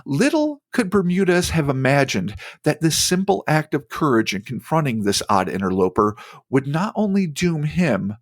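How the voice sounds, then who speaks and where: Lively, animated male podcast storyteller. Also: mid-range voice, no echo. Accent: New Jersey. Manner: lively storyteller, breaks off into joking asides. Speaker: podcast host. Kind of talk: reading a story aloud with casual banter.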